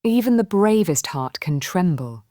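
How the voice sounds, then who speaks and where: A woman voicing a big, wise male storybook bear in a gentle, patient, reassuring manner. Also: fairly low pitch, expressive, measured pace, dry recording, clean studio sound.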